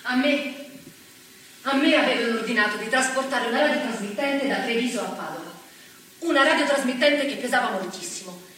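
Actress as a plucky young courier girl, high, echoey. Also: fairly quick, fairly bright tone, close to an everyday voice. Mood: eager recollection. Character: plucky, naive young woman courier for the resistance. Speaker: stage actress. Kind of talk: theatrical monologue, storytelling. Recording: very echoey, distant stage recording, faint noise.

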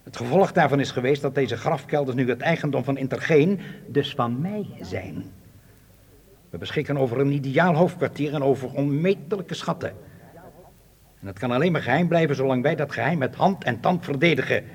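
An actor playing a cold, ruthless spy boss in a radio drama, with a commanding, menacing, deliberate delivery. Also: male voice, medium pitch, expressive, breathy edge. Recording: hall-like long reverb.

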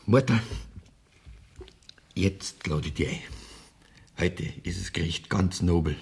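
An actor playing a condemned poacher in a German radio play, a wistful, plain-spoken rustic son with a Bavarian accent. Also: male voice, low voice, takes it slowly, creaky, darkish tone, full character voice. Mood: tender, wry.

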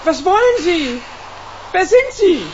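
High-voiced, frightened, pleading actress. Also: female voice, sing-song, takes it slowly, dull audio, slight background noise, full character voice. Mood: frightened, defensive. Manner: anxious, hesitant, pleading. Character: frightened, timid small-time actress hiding a lie. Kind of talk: radio drama acting.

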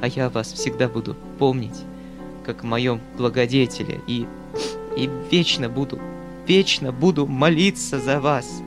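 A man's voice: medium pitch, steady tone, measured pace, over music, close to an everyday voice. A man voicing a tearful, resigned young governess. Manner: soft, subdued, resigned.

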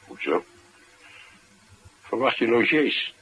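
A very husky man takes his time, pitch swinging widely. He is wry, drowsy and laconic, in natural radio-drama style. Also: very animated, slow pace, a bit bright, exaggerated voice, poor audio. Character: wry, drowsy, laconic male house guest.

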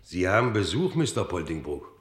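A man's voice: low-pitched, sing-song, brisk. A man playing a stolid, brusque prison warder in a comic radio serial. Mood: matter-of-fact. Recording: echoey hall with long reverb.

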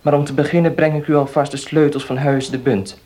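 An actor playing an earnest, dutiful nephew in a radio play, speaking formally and solemnly, sounding defeated. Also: male voice, a little high, flat delivery, fairly quick, a little mellow, natural voice.

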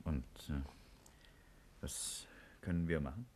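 An actor playing an anxious, restless scientist in a 1950s radio drama, asking terse, urgent questions. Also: male voice, extremely low pitch, slow pace, darkish tone, exaggerated voice. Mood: worried. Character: anxious, restless male scientist urging action. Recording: 1950s radio play with music.

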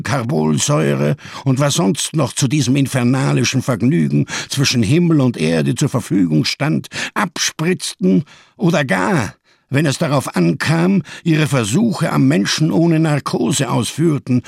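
Gravelly, embittered camp survivor. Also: male voice, mid-range voice, dry recording, studio quality. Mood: bitter, sardonic. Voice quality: gravelly, husky. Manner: solemn, rhetorical, biblical diction, bitterly sardonic. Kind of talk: German radio crime drama monologue.

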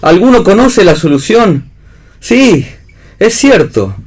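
A man's voice: high-pitched, big pitch swings, slow, darkish tone, breathy edge, exaggerated voice. A man playing a tormented, lovelorn romantic in a read radio play.

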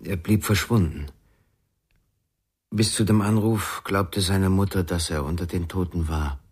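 A deep-voiced, guarded investigator. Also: male voice, unhurried, a little mellow, husky. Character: terse, guarded male investigator seeking a missing musician. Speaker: radio drama actor. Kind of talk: 1990s German radio crime drama acting.

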